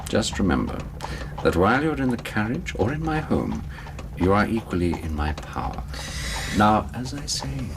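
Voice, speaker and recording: An actor playing a smooth gentleman kidnapper in a radio drama, formal and polite while making threats. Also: lowish voice, very animated, moderate pace, background noise. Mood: cool menace. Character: smooth, menacing gentleman kidnapper, coolly courteous.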